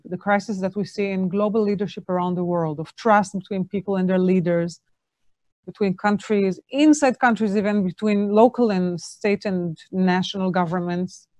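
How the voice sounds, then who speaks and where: A woman's voice: medium pitch, moderate pace, a little mellow, no room sound. A woman hosting an interview, asking questions hesitantly with many fillers.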